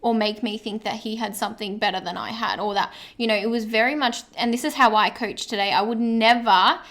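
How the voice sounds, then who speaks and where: A woman co-hosting a podcast, a coach talking in a casual, animated way and often quoting what she or others said. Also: fairly high pitch. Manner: animated, quick asides, quotes herself.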